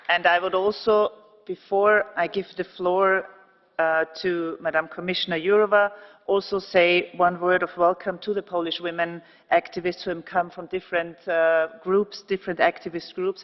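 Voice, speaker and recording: A man chairing a parliamentary session, making brisk, formal procedural announcements. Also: sits high. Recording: reverberant chamber, muffled broadcast audio.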